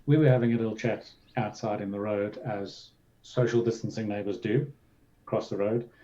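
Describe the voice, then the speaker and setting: A man's voice: lowish voice, fairly even intonation, moderate pace, mellow. A man co-hosting a show in casual chat, sweary and self-deprecating. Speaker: show co-host and reviewer. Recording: dull, noisy microphone audio.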